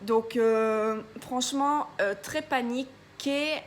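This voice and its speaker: A woman's voice: on the high side, slow pace, a little hiss or hum. A young woman vlogger telling a story in a casual, chatty way, with many hesitations and a stuffy nose from a cold. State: congested, a bit ill.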